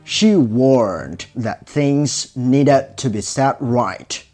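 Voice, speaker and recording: Casting: male fairy-tale narrator, slightly raspy, lively intonation, measured storybook delivery. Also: medium pitch. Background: music.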